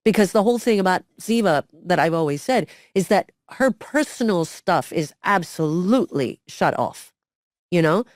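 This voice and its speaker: A middle-aged woman co-hosting a casual interview, telling her story in a lively way and acting out the quotes. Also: lowish voice, moderate pace, dry recording, studio quality. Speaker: podcast co-host, former cast member.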